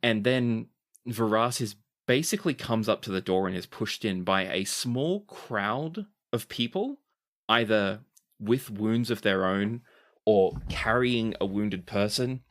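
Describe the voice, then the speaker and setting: Man narrating a tabletop game. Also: on the low side. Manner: descriptive, unhurried storytelling with dramatic pleading quotes. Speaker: game master.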